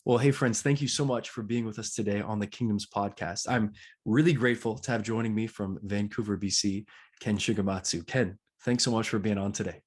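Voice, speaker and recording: Low husky-voiced male podcast host. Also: a little fast. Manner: friendly, earnest, with fillers and restarts. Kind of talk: casual interview.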